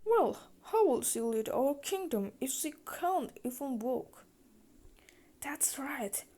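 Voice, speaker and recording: A woman's voice: high-pitched, lively intonation, slow, dark tone. A woman voicing scornful palace nobles in a storybook tale, gossiping with sneering disapproval.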